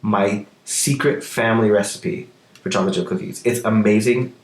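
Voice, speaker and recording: A man's voice: lowish voice, fairly even intonation, normal speed, echoey room. A man co-hosting a podcast in casual chat, nasal and sore-throated from a cold. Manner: chatty, restarts sentences. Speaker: podcast co-host.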